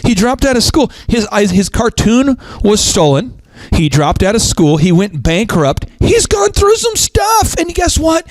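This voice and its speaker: A man's voice: sing-song, measured pace, no room sound. A male host of a business talk show who talks emphatically in a preachy, motivational way, often restarting and repeating words. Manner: swoops up into high pitch for emphasis.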